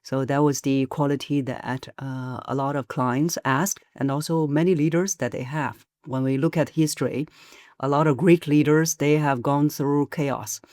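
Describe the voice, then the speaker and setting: A woman voice coach, a podcast guest, explaining in a measured way with frequent pauses and hesitations. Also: sits low, steady tone, a little mellow, no room sound, clean studio sound. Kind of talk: podcast interview.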